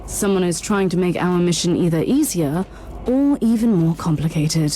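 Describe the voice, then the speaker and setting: An actress playing a stern, wary but loyal woman Inquisitor, speaking in a measured, formal way in a game cutscene. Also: fairly low pitch, dark tone, a little hiss or hum, close to an everyday voice.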